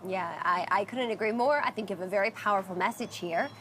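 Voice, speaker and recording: A woman's voice: medium pitch, fast talker, fairly bright tone, a little hiss or hum, rough recording. A woman hosting a news magazine interview. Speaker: interviewer, host.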